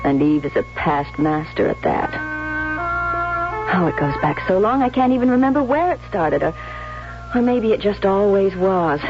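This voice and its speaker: An actress playing an emotional, resentful young bride-to-be with an American accent in a theatrical 1970s radio drama. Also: female voice, mid-range voice, big pitch swings, normal speed. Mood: brooding, confiding. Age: young adult. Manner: intense, emphatic, theatrical. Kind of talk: 1970s radio drama acting, dialogue and confessional narration. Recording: muffled old radio broadcast, faint music.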